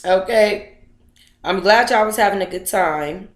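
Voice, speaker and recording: A woman's voice: medium pitch, measured pace, reverberant. A woman on a casual livestream, talking to viewers in a playful, slangy, chatty way as she hosts and reads aloud. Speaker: livestream host reading their own book aloud.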